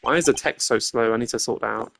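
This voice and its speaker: A man's voice: medium pitch, fast, gravelly, a bit bright, a little hiss or hum. A man chatting casually as he streams a video game, in an informal, offhand style.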